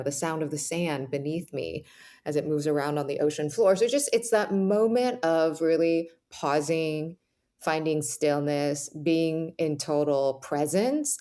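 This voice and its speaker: Low-voiced woman, reflective interview guest. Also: darkish tone. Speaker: podcast guest, author.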